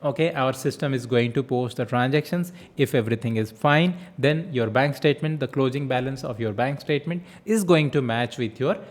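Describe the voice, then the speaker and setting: A man with an Indian accent giving an explanatory software tutorial as an instructor, posing questions and answering them himself, often repeating phrases. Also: mid-range voice, a little fast, few pauses. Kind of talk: explanatory tutorial lecture with interview tips.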